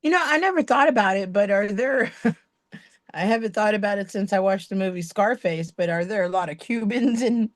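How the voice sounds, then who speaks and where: A woman's voice: mid-range voice, moderate pace, no room sound, studio quality. A woman with a mid-range voice chats on a livestream as co-host. She mostly drops short, teasing remarks and laughs easily along with the conversation. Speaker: co-host guest. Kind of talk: casual chat on a livestream.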